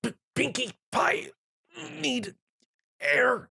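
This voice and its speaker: A man's voice: extremely high pitch, very slow, creaky, bright, forceful tone, slightly rough, dry recording, studio quality, voice pushed up, heavily acted. A man voicing an awkward young male human hero who stammers when flustered.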